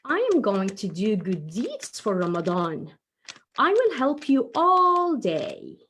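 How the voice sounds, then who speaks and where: A woman's voice: mid-range voice, huge pitch swings, slow pace, a bit bright, a little hiss or hum, full character voice. A woman voicing an eager, helpful little boy puppet in an earnest, childlike way.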